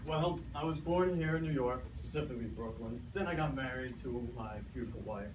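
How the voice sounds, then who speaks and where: A man plays a guarded 1920s gangster in a radio skit, curt and defensive about his business. The voice sits at an ordinary male pitch. The recording is echoey, distant and clearly noisy. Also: a little fast, natural voice.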